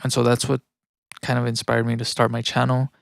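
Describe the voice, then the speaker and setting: A man's voice: medium pitch, monotone, fairly quick, creaky, no echo, studio quality. A man from Mexico living in the United States chats casually and thinks aloud in a rambling, self-reflective way while producing music on a livestream. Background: electronic music.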